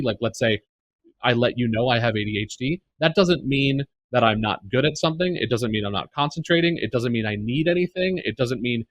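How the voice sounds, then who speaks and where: A man's voice: mid-range voice, a bit bright. A man with an American accent, a podcast guest speaking earnestly about the workplace, with plenty of fillers and restarts. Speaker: podcast guest, neurodiversity advocate. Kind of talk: casual interview conversation.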